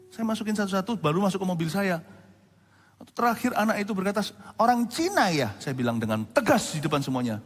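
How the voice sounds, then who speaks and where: Male pastor, 40s, high-pitched, lively storytelling sermon, reverberant hall. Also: breathy edge. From Indonesia. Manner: animated storytelling, conversational asides to the congregation.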